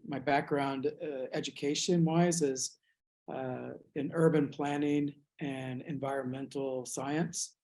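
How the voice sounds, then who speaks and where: A man from the United States, an artist reflecting on his work in an interview, speaking thoughtfully with many pauses and restarts. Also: mid-range voice, fairly even intonation, darkish tone.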